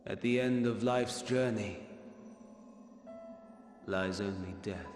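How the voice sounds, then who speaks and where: An actor playing a despairing, grandiose villain in a video game, delivering a solemn, theatrical, weary monologue. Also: male voice, fairly low pitch, slow, darkish tone. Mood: bitter, solemn. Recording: echoey hall reverb with music behind.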